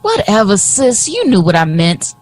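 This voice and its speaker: A woman's voice: medium pitch, wildly swooping pitch, normal speed, a little mellow. A woman acting in an audio drama as a brash, teasing young friend who talks in blunt slang. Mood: sassy, mocking.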